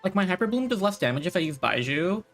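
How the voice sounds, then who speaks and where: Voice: medium pitch, very animated, quick pace. Live game streamer, mid-range speaking voice, informal thinking-aloud chat with faint music underneath.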